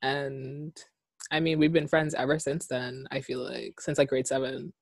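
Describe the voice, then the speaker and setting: A woman's voice: very deep voice, flat delivery, unhurried, dry recording. A woman in her twenties from the Bahamas, co-hosting a podcast in a casual, chatty way, laughing easily. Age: 20s. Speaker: podcast co-host. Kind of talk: casual chat. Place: her own room.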